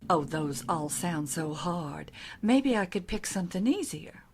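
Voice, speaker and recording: A woman's voice: lowish voice, animated, unhurried, darkish tone, slight background noise. Woman doing a flirty first lady type, genteel, spoiled, affected manners, comedy radio play. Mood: gracious, breezy. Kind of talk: comic audio drama acting.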